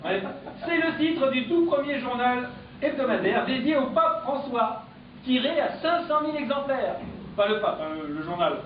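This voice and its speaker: Male stand-up, high voice, deadpan punchlines, far-off echoey lo-fi club sound. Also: normal speed. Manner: punchy deadpan joke delivery. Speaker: comedian. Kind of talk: stand-up comic press review. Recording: echoey, distant, dull live-club recording with noise.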